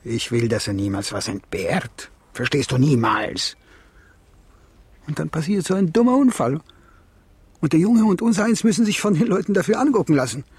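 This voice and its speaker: A rough, middle-aged father in a radio play. His voice is strongly raspy and moves animatedly up and down. He sounds proud and defensive, with a troubled edge. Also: on the high side, big pitch swings, moderate pace, a little mellow, dry recording, heavily acted. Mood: proud, agitated. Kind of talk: German radio play acting.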